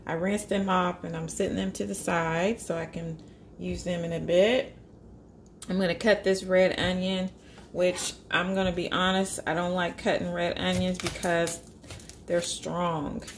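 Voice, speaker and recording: A woman's voice: lowish voice, level tone, unhurried, a little hiss or hum. A woman, chatting casually and upbeat as she walks through a cooking prep at home, thinking aloud as she goes. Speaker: vegan cooking vlogger. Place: home kitchen.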